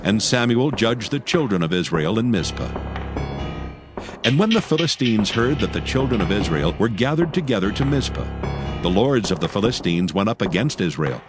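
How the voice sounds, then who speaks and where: A man narrating scripture from a script in a solemn, measured, formal manner. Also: fairly low pitch, big pitch swings. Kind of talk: scripted dramatized Bible narration. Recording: faint music behind the voice. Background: faint background music.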